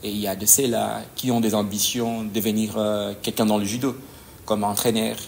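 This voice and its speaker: A man speaks in a low, gravelly voice. He talks haltingly, pausing and searching for words as he explains his work as a judo coach. A faint hum of noise sits under him. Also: level tone, a little mellow. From DR Congo. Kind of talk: conversational interview answers.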